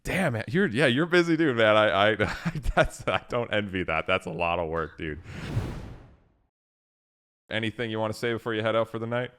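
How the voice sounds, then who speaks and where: A man hosting a casual interview, enthusiastic and quick to laugh. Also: on the low side, clear, resonant tone. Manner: enthusiastic, animated, laughs often. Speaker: host.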